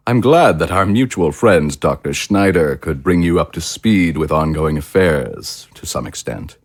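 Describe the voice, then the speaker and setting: An actor playing a suave, self-satisfied political leader in an audio drama, with pompous, elaborately polite diction. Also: male voice, very deep voice, lively intonation, measured pace, heavily acted. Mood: smoothly apologetic. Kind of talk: theatrical audio drama acting.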